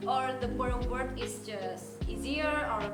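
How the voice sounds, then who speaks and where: A young woman co-hosting a podcast, agreeing warmly and moving the talk to the next point. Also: a little high, measured pace. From Indonesia. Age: young adult. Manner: agreeable, steers the talk along. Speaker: podcast co-host. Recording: roomy sound with loud music bed.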